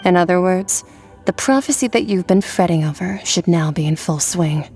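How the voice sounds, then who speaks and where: A woman playing an aloof, blunt young warrior from another world in a video game cutscene, deadpan and detached. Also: lowish voice, normal speed, close to an everyday voice. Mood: matter-of-fact. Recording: background music under the voice.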